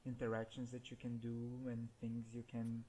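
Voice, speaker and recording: A man's voice: medium pitch, monotone, normal speed, warm tone, rough recording. A man whose first language is Portuguese, giving laid-back gameplay commentary and chuckling often as he talks. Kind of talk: casual let's-play commentary.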